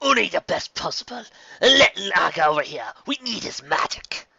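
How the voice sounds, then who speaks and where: A man's voice: sits high, very animated, moderate pace, vocal fry, pushed and bright, slightly rough, no echo, lo-fi sound, pitched above the performer's own voice, exaggerated voice. A man voicing a cruel young stallion villain, berating and growling as an imperious leader in a fantasy story. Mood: scheming, pleased.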